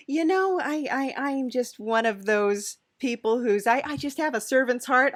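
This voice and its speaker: Lady doing an eager devil's helper podcast host, swoopy slow voice, halting n apologetic, improv comedy. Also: on the high side. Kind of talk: improvised character comedy interview.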